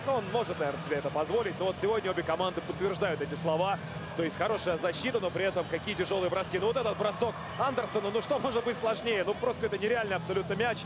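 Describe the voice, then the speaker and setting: A quick, high-voiced man calls basketball action in brisk, lively bursts. Music plays clearly under his voice. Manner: brisk, lively play calling. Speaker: play-by-play commentator. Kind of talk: live basketball play-by-play.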